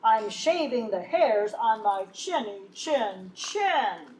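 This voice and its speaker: A woman's voice: fairly high pitch, very animated, slow. Woman doing a rude, curt storybook pig. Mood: yelling, dismissive. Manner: curt, loud, dismissive. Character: rude, curt male pig turning away a wolf. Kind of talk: children's storybook character voice. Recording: echoey room, distant mic, faint noise.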